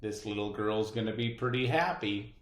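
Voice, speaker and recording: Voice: medium pitch, moderate pace, reverberant. A person reading a children's picture book aloud expressively, adding chatty asides as a co-reader. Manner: expressive, emphatic storybook delivery with chatty asides.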